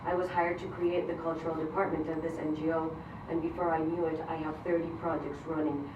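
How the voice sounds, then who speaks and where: A woman from Colombia, a Spanish speaker, giving an earnest, measured prepared speech as a grant recipient. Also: sits low, little pitch movement, highs cut off. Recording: roomy, distant mic, noisy event hall.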